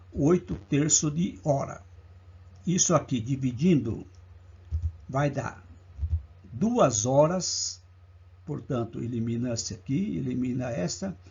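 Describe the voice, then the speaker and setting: A man's voice: fairly high pitch, vocal fry, a little mellow, husky, dull audio. A man with a Brazilian Portuguese accent teaching math for a public exam, working through problems step by step and counting out each part aloud. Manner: slow, deliberate, counts steps aloud. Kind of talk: exam-prep lesson walkthrough.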